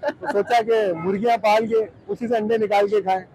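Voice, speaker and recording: Male friend, very high, monotone voice, jokey casual chat. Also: normal speed, poor audio. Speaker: vlogger's friend.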